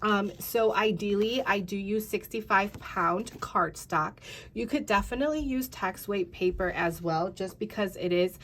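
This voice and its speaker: A woman's voice: mid-range voice, unhurried, a bit bright, slight background noise. A tired, congested woman in her thirties chatting casually through a craft tutorial, rambling with many fillers and talking to viewers as "you guys.". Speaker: craft channel host.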